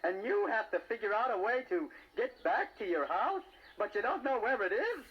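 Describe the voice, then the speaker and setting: A man's voice: very high voice, very animated, moderate pace, slightly rough, lo-fi sound, heavily acted. A man voicing a chatty, easygoing snail in a children's audio storybook, jaunty and matter-of-fact. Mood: easygoing, curious.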